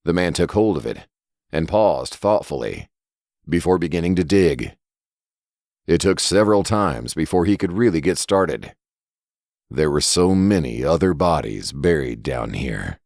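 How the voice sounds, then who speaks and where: Very deep-voiced man narrating a dark story. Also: big pitch swings, unhurried, no room sound, clean studio sound. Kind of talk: scripted horror story narration.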